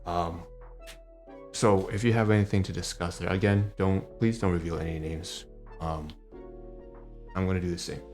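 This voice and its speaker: A fairly low-voiced man in his late twenties, a native Cantonese speaker, vents in a heated, profane rant straight to camera at home. His speech is peppered with rhetorical checks, and faint music sits underneath. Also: steady tone, normal speed, long pauses, darkish tone. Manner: heated, profane ranting with many rhetorical tags. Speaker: vlogger. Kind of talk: personal vlog rant.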